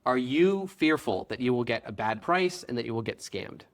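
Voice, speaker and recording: A man's voice: mid-range voice, lively intonation, moderate pace. A man hosting an interview, asking questions and summing up the guest's points in a friendly way. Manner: friendly, sums up and checks facts. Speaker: podcast host.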